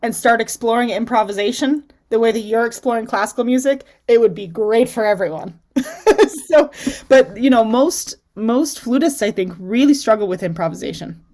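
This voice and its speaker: A woman's voice: fairly high pitch, normal speed, a little mellow. A woman hosting a flute masterclass over a video call, enthusiastic and encouraging, laughing often. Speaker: flute teacher and masterclass host.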